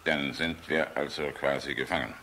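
Weary, blunt general for radio play. Low-pitched, deliberate delivery, faintly noisy recording. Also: male voice, fairly even intonation. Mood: weary, brooding. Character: weary, plain-spoken German air force general, courteous but blunt.

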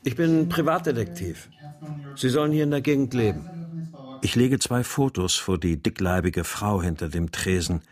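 An actor playing a troubled husband searching for his missing family, narrating and speaking in a measured, brooding way in a radio crime drama. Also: mid-range voice, animated, a little mellow, dry recording, lightly acted. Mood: polite, searching. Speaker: lead actor and first-person narrator.

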